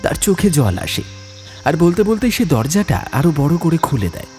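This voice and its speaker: A man's voice: on the high side, moderate pace, faint music behind. A man narrating a scripted ghost story as an audiobook, in a suspenseful, dramatic manner. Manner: suspenseful, expressive.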